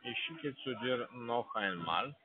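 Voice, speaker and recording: Non-native male caller, halting and repetitive, lively pitch, phone-line sound. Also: breathy edge. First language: not German. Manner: halting broken German, repeats words. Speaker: scam call center caller. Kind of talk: phone call.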